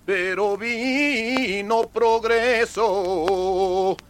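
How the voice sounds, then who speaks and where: A man delivers a scripted radio spot as a deliberate recital. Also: high-pitched, steady tone, soft background music.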